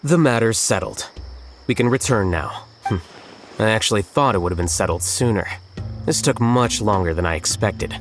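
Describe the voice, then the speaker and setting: An actor playing an aloof male scholar who talks in a calm, dry, deadpan way. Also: fairly low pitch, normal speed, soft background music, natural voice. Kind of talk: video game voice acting.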